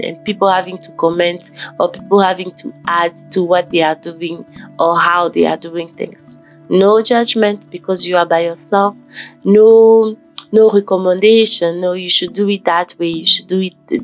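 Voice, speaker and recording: Croaky woman from Ghana vlogging. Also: fairly low pitch. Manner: rambling, repeats words, self-corrects. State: croaky from just waking up. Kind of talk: casual motivational vlog monologue. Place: forest fitness trail outdoors. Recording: dull lo-fi audio with faint background music.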